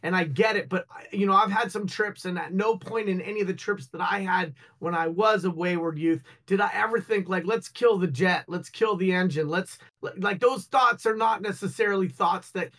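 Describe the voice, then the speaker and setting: A man's voice: sits high, normal speed, few pauses, fairly bright tone. A man co-hosting a casual news talk show, joking and rambling. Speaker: co-host. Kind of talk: casual panel chat.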